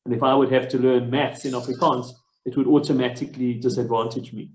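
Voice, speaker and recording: Man from South Africa, interviewer, measured and explanatory, mid-pitched voice, echoey room. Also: little pitch movement. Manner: explanatory, measured, frequent fillers. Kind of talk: explaining context to an online audience.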